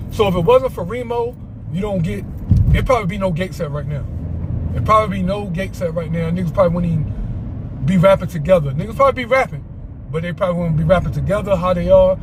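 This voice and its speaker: High-pitched man telling stories, noisy surroundings. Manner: rambling, repeats himself, boastful asides. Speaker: storyteller vlogger. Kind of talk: casual storytime, off-the-cuff.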